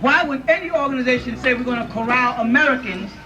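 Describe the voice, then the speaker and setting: A man's voice: very high voice, quick pace. A man giving an emphatic, informal lecture to a live audience in a room, often checking in with his listeners. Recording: dull lo-fi audio from a distant mic.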